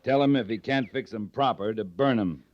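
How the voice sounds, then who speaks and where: An actor playing a stern, unflappable cavalry captain in an old radio western, terse and authoritative. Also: male voice, lowish voice, moderate pace, lightly acted. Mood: commanding. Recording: dull vintage radio recording.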